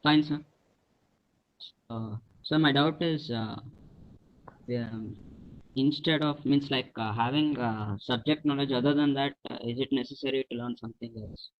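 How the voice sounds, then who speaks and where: A young man asks his question softly, stopping often to search for words. He sounds muffled and far from the mic. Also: mid-range voice, moderate pace, pauses often. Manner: quiet, hesitant, many fillers. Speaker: student asking a question.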